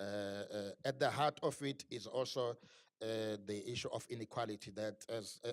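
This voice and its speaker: A man's voice: mid-range voice, no room sound. Earnest man, stammering, dry sound. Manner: stammering restarts, earnest and analytical. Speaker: panel speaker. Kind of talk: unscripted talk at a book launch.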